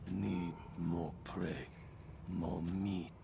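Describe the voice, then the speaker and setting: Casting: gluttonous demon brute, very slow, deep gravelly growl, blunt demands, dark fantasy game, rumble behind. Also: male voice, flat delivery, heavily acted. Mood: hungry. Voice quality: gravelly, guttural. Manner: short, blunt, hungry demands.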